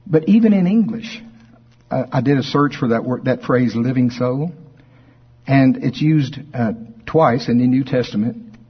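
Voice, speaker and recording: A man's voice: medium pitch, husky. Folksy male preacher, slightly muffled sound. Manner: folksy, conversational, deliberate emphasis when reading scripture. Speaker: preacher teaching a Bible study. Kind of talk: informal Bible teaching to a congregation, reading verses aloud. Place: speaking live before a congregation.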